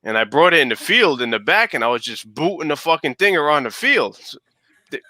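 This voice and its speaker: A man's voice: a little high, very animated, fairly quick, sharp tone, dry recording. A man in his mid-thirties bantering and telling stories casually, blunt and full of swearing. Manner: profane, blunt.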